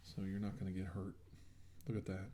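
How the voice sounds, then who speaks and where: A soft-spoken man doing an ASMR role-play as a clinician, reassuring the listener in a soothing, unhurried, conversational way. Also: low voice, completely flat delivery, slight background noise. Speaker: ASMR role-play performer acting as a clinician treating a patient.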